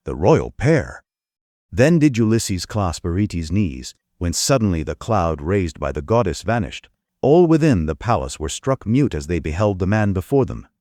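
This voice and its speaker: A man's voice: deep voice, a little mellow, no room sound, clean studio sound. A man giving formal, dramatic narration of an epic audiobook. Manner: formal storytelling.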